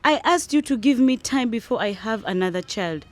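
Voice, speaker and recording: A woman's voice: on the high side, animated, moderate pace, lightly acted. A woman playing a distressed wife in a radio drama, pleading and insistent as she stands her ground.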